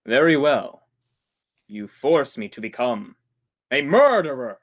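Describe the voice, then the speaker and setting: Casting: male actor as a courteous, sinister older gentleman murderer, formal diction, quiet menace, vintage radio thriller. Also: medium pitch, big pitch swings, takes it slowly. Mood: grimly resolved. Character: suave menacing older male killer, politely threatening. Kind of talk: old-time radio drama acting.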